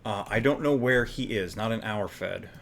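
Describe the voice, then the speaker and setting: Low-pitched male co-host, relaxed, musing through game choices. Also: moderate pace, creaky, slight background noise. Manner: easygoing, thinks aloud with fillers. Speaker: co-host playing a wrestling booking game. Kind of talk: casual gaming chat.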